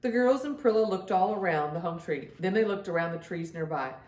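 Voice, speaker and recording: A woman reading a children's story aloud in an expressive storytelling style, speaking as if to young listeners. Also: on the low side, a little fast, reverberant. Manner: addresses young children directly, acts out dialogue. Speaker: children's storybook reader and host.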